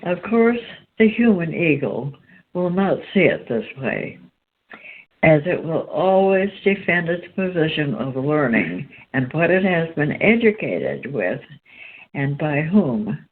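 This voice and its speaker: A woman host reading aloud from a spiritual text in a halting, deliberate way. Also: low-pitched, big pitch swings. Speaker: host and reader. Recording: tinny phone-line sound, background noise.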